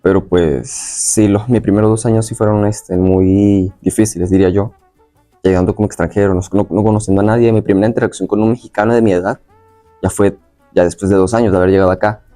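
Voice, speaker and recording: Young man, from Venezuela, lowish pitch, dark soft tone, casual interview guest, slightly hesitant, faint music. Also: fairly even intonation, fast talker. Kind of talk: casual personal recollection.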